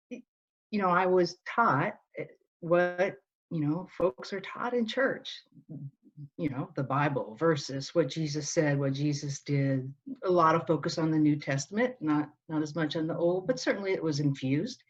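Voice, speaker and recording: Earnest storytelling woman, muffled sound. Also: deep voice. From Northern Ireland. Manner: earnest, storytelling, many restarts. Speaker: guest. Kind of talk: conversational interview. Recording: dull, lo-fi.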